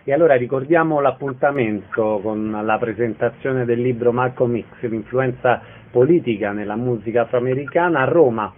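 Man, radio interviewer, mid-pitched voice, narrow lo-fi broadcast sound. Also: normal speed, few pauses. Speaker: radio host. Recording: thin band-limited radio sound.

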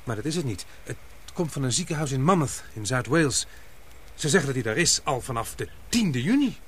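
A man's voice: medium pitch, big pitch swings, fairly quick, a little hiss or hum, close to an everyday voice. An actor playing an earnest, protective young man in a radio drama, speaking in a hesitant, reassuring way. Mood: puzzled. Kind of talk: radio drama acting in Dutch.

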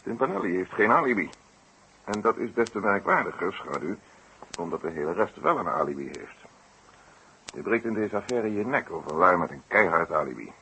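An actor playing a brisk, plain-spoken, authoritative police inspector in a 1960s radio drama. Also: male voice, low voice, expressive, sharp tone, heavily acted, poor audio. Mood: collegial, businesslike. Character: no-nonsense Dutch police inspector leading interrogations. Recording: old radio recording with hiss.

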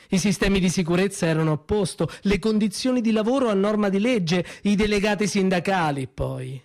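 A man delivers a bitter, accusing monologue in a slightly high voice, dropping into slow, heavy pauses. The sound is close and dry, with no room around it. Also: a little mellow, dry recording. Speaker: stage actor in a one-man monologue. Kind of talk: theatrical monologue performance. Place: small theatre stage.

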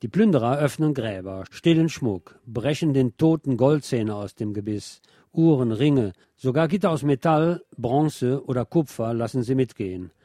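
A man's voice: mid-range voice, animated, moderate pace, warm tone, no room sound, studio quality. A man presenting scripted radio news with wry asides.

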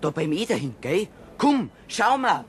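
A man's voice: high voice, big pitch swings, a little slow, slight background noise. A man playing an alarmed young neighbourhood kid with a Bavarian accent in a children's radio play.

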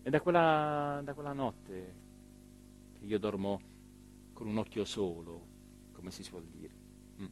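An actor playing a rueful, self-important small-town mayor in a rambling, hesitant theatrical monologue. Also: male voice, on the low side, slight background noise. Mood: wistful, resigned. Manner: rambling, hesitant, emphatic, with repeated phrases and pauses. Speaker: stage actor performing a radio theatre monologue.

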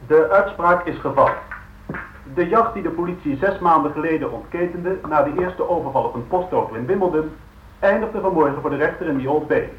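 An actor playing a serious, measured male news commentator giving a scripted report in a radio drama. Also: a little high, lightly acted. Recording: dull, echoey radio sound.

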